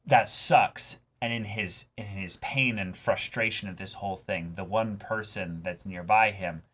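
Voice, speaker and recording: Low-voiced man with a bright, forceful edge. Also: fairly even intonation, unhurried, husky. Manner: emphatic, sweary, acts out imagined quotes. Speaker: co-host of an anime reaction show. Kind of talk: casual animated discussion.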